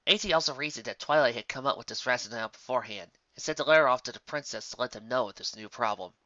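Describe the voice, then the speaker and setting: Male narrator, bright forceful voice, expressive amateur story reading, occasional stumbles, dry and slightly dull recording. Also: mid-range voice, fast, no room sound.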